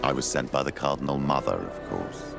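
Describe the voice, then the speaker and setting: An actor playing an older missionary priest in a video game, formal, measured and dry, with a gravelly voice. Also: male voice, a bass voice, animated, over music, full character voice.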